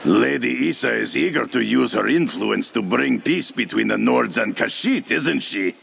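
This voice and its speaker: An actor playing a brash, jovial, muddle-headed Nord warrior turned ambassador in a game roleplay, with a gravelly, husky voice. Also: male voice, mid-range voice, expressive, normal speed, a bit bright, heavily acted. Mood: jovial, boastful.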